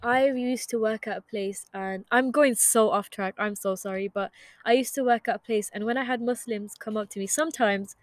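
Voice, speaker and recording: A young woman from Ireland talks as a podcast guest in a chatty, earnest way, her voice set a little high. The sound is dry and close, with no room around it. She laughs easily between her points. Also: moderate pace, no echo. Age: young adult. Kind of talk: casual chat.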